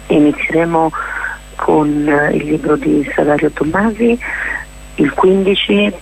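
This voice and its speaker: A woman with a husky, gravelly voice, explaining things in an informal radio interview with hesitant, drawn-out words. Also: sits low, steady tone, darkish tone, slight background noise, rough recording. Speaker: festival organiser and performer, interview guest.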